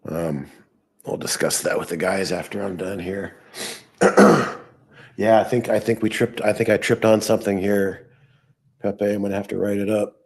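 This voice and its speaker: A man hosting a live trading show, thinking aloud as he works, his voice hoarse and fading. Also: fairly low pitch, fairly even intonation, moderate pace. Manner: thinking aloud while working on screen.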